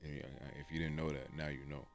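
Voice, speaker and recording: A man from Texas with a deep, resonant voice, giving rambling, slangy self-improvement advice to camera and often tagging "okay?". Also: level tone, brisk, faint music behind. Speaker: dating and self-improvement vlogger. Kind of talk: casual talk to camera. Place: room with books on shelves.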